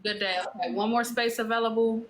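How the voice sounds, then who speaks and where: A woman's voice: a little high, brisk, a little hiss or hum. A woman hosting a live online panel, calling people up one by one and keeping things orderly. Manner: steady, organizing, calls on speakers. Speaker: host moderating a live panel. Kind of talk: casual live-stream moderation.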